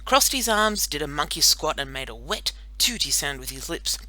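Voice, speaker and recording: A woman reading a children's story as an audiobook narrator, in an expressive, playful and dramatic storytelling manner. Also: deep voice, moderate pace, heavy background noise.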